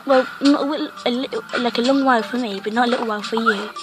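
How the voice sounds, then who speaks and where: A woman hosting a casual game commentary, rambling with lots of fillers and a croaky voice from a cough. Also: a little high, fairly even intonation, fast talker, over music. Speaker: gaming channel host.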